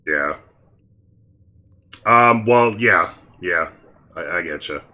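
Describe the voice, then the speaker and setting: A man hosting a casual gameplay commentary, explaining team strategy in a relaxed way. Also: sits low, slow. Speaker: gaming channel host.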